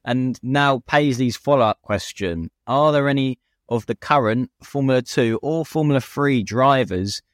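A man's voice: mid-range voice, a little slow, hardly any pauses, no echo, clean studio sound. A British man co-hosting a casual motorsport chat as a racing driver, speaking in an informal, colloquial, opinionated way. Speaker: podcast co-host and racing driver.

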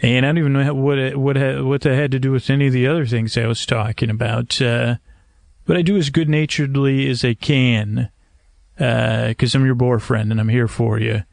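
Creaky-voiced man rambling softly toward sleep. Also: medium pitch, fairly even intonation, no room sound, studio quality. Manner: hesitant, meandering, measured, gently self-deprecating. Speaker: podcast host. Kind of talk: rambling, soothing bedtime monologue to help listeners sleep. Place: screened porch at night.

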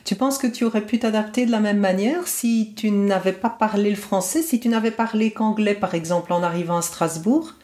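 A woman's voice: mid-range voice, brisk, few pauses, warm tone. A woman interviewer asking attentive, encouraging questions.

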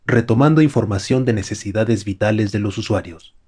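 A man voicing an impersonal automated house computer in an audio drama, making formal, flat announcements. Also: lowish voice, fairly quick, lightly acted.

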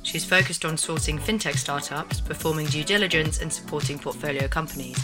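Low-voiced woman reading a quick intro. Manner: smooth, read-aloud delivery. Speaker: announcer introducing the speaker. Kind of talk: scripted introduction. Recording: voice-over with music behind.

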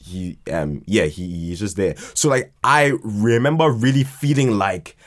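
Slow, halting man, lowish voice. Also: expressive. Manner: halting, repeats words and restarts often. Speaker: podcast guest, writer and creator of a fiction podcast. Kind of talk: casual interview chat.

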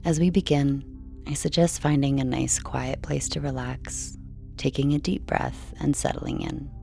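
A woman reading a scripted bedtime narration in a calm, measured, soothing way. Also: very deep voice, gravelly. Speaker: narrator, bedtime story reader. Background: faint music.

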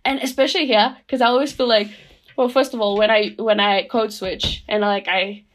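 A young woman from Rotuma talks as a podcast guest in a loose, reflective way. Her speech is full of fillers, and her laughter comes easily. Her voice sits at an ordinary middle pitch. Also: steady tone, moderate pace. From Rotuma, Fiji. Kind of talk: casual reflective conversation.

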